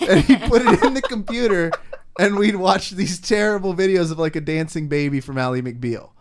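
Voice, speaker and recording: A man's voice: high-pitched, moderate pace, slight background noise. A man on a panel chat, talking casually and laughing as he tells stories. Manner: chatty, laughs while telling stories.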